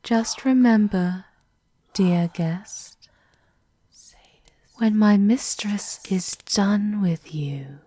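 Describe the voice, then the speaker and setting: A woman playing a loyal, coldly menacing castle maidservant in a fantasy ASMR roleplay, speaking formally with hushed, deferential politeness. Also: mid-range voice, extremely slow, pauses often. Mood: quiet menace. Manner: formal, deferential, veiled threats, often breathy whispering.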